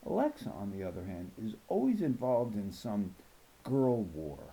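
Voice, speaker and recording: Mellow, animated male storybook reader. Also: lowish voice, moderate pace, rough recording. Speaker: audiobook reader. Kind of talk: expressive children's novel narration.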